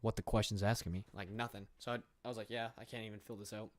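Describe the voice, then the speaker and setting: A man's voice: on the low side, steady tone, fast, no echo. Rambling young man chatting on stream. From England. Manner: rambling, many fillers and restarts, reads viewer questions aloud. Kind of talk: casual livestream chat. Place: room.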